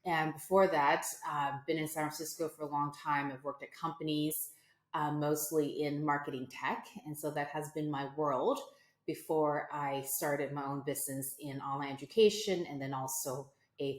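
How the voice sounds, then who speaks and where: Woman, forties, low voice, reflective, restarts, echoey room. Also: steady tone, normal speed. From United States. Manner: reflective, frequent fillers and restarts. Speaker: guest entrepreneur. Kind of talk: conversational interview.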